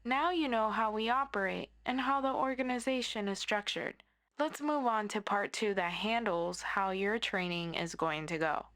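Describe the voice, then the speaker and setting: Woman playing superhero mentor for audio roleplay: friendly, mid-pitched, orderly, instructive orientation delivery. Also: normal speed, fairly bright tone, natural voice.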